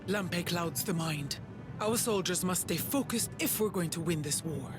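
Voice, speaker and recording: A stern, fanatical alien commander in a video game. He gives orders in a high voice. Prominent music plays underneath. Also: animated, a little slow. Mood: stern, commanding. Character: stern, zealous alien war leader. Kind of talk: video game cutscene acting.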